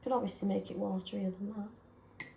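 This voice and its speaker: A woman chatting casually and self-deprecatingly while testing paint colours, slightly hoarse from a sore throat. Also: mid-range voice, steady tone, moderate pace, warm tone, echoey room, slight background noise. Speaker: craft vlogger.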